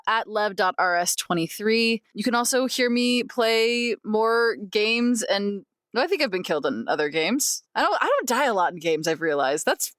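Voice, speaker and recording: A woman's voice: mid-range voice, fairly bright tone, clear, resonant tone, dry recording, clean studio sound. A woman chatting casually and playfully on a podcast, with a wry way of talking. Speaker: tabletop role-play performer.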